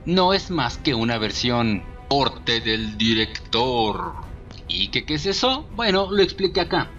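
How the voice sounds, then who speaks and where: A man's voice: medium pitch, big pitch swings, measured pace, lo-fi sound, over music. A Mexican man giving an informal scripted film review in a sarcastic, slangy, opinionated way. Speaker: video reviewer.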